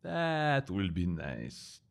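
An actor in a radio drama playing an ailing, mild missionary husband, subdued and unhurried. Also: male voice, deep voice, huge pitch swings, creaky, exaggerated voice. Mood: wistful.

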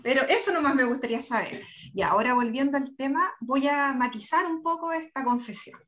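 Interview guest: Chilean woman, fairly high voice, candid conversational delivery, computer mic indoors. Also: brisk, fairly bright tone. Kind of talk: casual conversational interview talk. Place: indoor room on a video call. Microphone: computer video-call microphone.

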